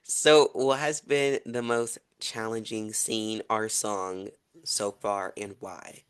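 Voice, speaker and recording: A young man hosting a podcast interview, asking questions in a relaxed, casual way. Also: lowish voice, takes it slowly, smooth. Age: young adult. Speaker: podcast host.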